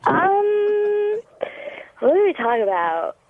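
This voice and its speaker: A flustered woman calls in, giggling and defending herself. Her voice sits high and leaps about. The line is tinny and dry. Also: big pitch swings, slow. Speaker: caller. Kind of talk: call-in radio banter.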